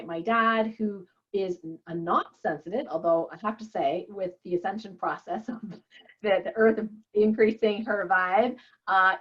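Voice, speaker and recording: A woman, a native Chinese speaker, talks as a guest in a casual interview. She is chatty and drifts into asides, at an average pitch. The audio is somewhat dull and lo-fi. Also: fairly bright tone. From Canada. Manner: chatty, digressive. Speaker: interview guest, physician and author.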